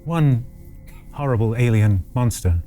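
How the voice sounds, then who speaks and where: A man's voice: medium pitch, lively intonation, measured pace, mellow, soft background music. A man playing a tabletop roleplaying game, bantering casually in a wry, playful way.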